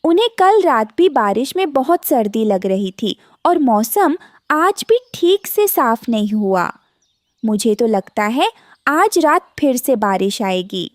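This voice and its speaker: Woman playing a high-voiced, gentle mother bird. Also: very animated, takes it slowly, no echo, clean studio sound. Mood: worried. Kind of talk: Hindi cartoon voice acting.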